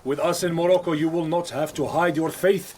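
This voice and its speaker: A man's voice: on the high side, fairly even intonation, a little fast, darkish tone, slight background noise, natural voice. An actor playing a persuasive Moorish embassy man in a period radio drama, earnest, formal and pleading. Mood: pressing. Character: urgent, persuasive Moorish envoy's man, loyal friend.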